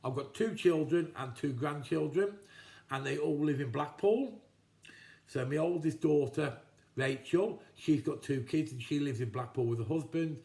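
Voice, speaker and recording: A man's voice: on the high side, moderate pace, creaky, mellow. A man in his fifties from Telford, chatting casually to camera as he answers viewers' questions, rambling a little with frequent restarts, recorded at home in his kitchen. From England, Telford. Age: 50s. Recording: echoey kitchen.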